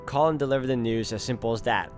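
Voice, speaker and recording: A man with a medium-pitched voice narrates a visual novel in the first person. He is measured and introspective, with music playing faintly behind him.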